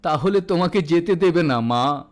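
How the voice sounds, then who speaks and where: A man voicing an elderly, proud father burdened by debt, tender but quick to indignation, in an audio story. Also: fairly high pitch, expressive, lightly acted. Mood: tender, pained. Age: older. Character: elderly, anguished, proud indebted Bengali father.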